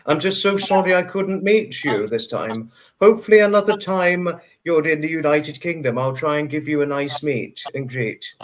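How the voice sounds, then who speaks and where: A man's voice: a little high, moderate pace, few pauses. A man hosting a livestream chat, polite, a little formal and apologetic in manner. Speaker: livestream host. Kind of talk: casual chat over a livestream.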